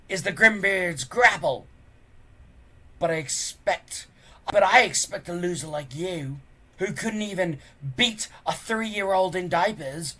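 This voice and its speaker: A reader putting on a swaggering, sneering boy bully, loud. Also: mid-range voice, pitched above the performer's own voice. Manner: boastful, contemptuous. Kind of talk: children's storybook reading.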